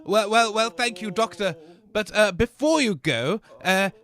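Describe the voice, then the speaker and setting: A man's voice: high voice, lively intonation, a little slow, a bit bright, exaggerated voice. A man playing a seedy, cowardly radio host who stammers theatrically through an audio comedy sketch. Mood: leering.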